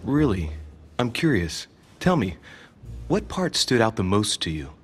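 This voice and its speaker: A man acting an eager, inquisitive young gentleman investigator in a video game cutscene, with an earnest, teasing, expressive delivery. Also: lowish voice, measured pace, slight background noise. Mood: playful, curious.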